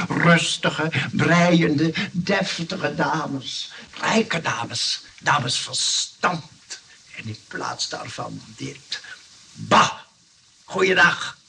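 Pompous, slow grumbling landlord. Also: male voice, mid-range voice, creaky, sharp tone, exaggerated voice. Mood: disdainful. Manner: pompous, indignant, repeating himself. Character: pompous, grumbling, snobbish male neighbour and landlord. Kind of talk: old radio play acting. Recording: distant, faintly noisy old radio recording.

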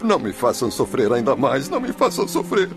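A man's voice: mid-range voice, huge pitch swings, music in the background. A man with a Brazilian accent playing an anguished, stubborn spirit of a father in a radio drama, pleading emotionally for his family. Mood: frantic pleading. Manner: pleading, emotional, drawn-out delivery. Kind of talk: melodramatic radio drama acting.

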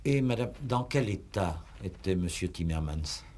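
An actor playing an insistent, exasperated examining magistrate in a 1960s French radio police play, pressing and sarcastic in a formal, theatrical way. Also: male voice, sits low, lively intonation, unhurried, a little mellow.

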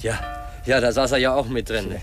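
An actor playing a pensive, reserved young heir with a measured way of talking, in 1950s German radio drama. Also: male voice, mid-range voice, fairly even intonation, soft background music, natural voice. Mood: measured, factual. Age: young adult. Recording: slightly noisy 1950s radio recording.

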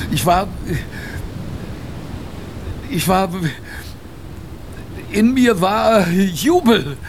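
An actor playing an old grandfather and polar expedition survivor, telling his tale tenderly and proudly in a radio drama, with reflective pauses. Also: high voice, vocal fry, noisy background, heavily acted. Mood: overwhelmed, jubilant. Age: older.

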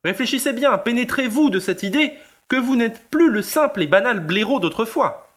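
A man playing a pompous, flattering crusading lawyer, speaking in a formal, oratorical audio drama style. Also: very high-pitched, moderate pace, exaggerated voice. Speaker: actor in an amateur audio drama. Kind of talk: theatrical French audio drama acting.

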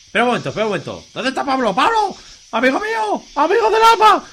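A man with an Aragonese accent playing a drunk, excitable, foul-mouthed young man in a comic radio serial, slangy and blustering. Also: very high voice, fast talker, full character voice. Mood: confused. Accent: Aragonese Spanish. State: acting drunk.

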